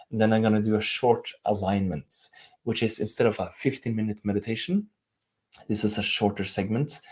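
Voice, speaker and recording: A man with a Norwegian accent, hosting a live stream as a coach, speaking earnestly and gently while reading out viewer comments. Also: fairly low pitch, breathy edge. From Norway. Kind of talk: live-stream teaching and chat.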